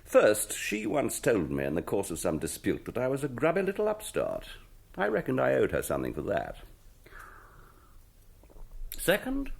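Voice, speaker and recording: A mischievous television host in a radio drama. His voice is husky and gravelly, with wildly swooping pitch. He is chummy and jovial in an old-boy way. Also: full character voice. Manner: chummy, upper-class old-boy slang. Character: mischievous, jovial English TV host, gleefully scheming. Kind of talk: British radio drama acting.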